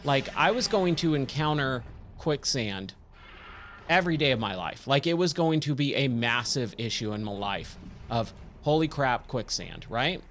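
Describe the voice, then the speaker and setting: A man with a slightly hoarse, scratchy voice giving casual, rambling game commentary and thinking aloud as he plays. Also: mid-range voice, animated, normal speed, a bit bright. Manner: rambling, self-questioning. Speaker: game streamer, host of a let's play.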